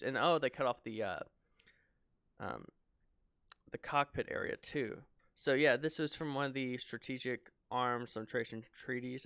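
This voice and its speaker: A man casually commenting on a flight simulator showcase in a relaxed, rambling way, full of ums, his voice slightly raspy. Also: mid-range voice, dry recording, clean studio sound. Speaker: flight sim video host.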